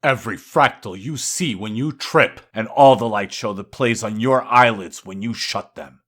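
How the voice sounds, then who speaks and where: A man's voice: lowish voice, moderate pace, a bit bright. A man voicing a boastful, defiant god of wine and excess in a grandiose, insistent poetry recital. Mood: proudly boasting.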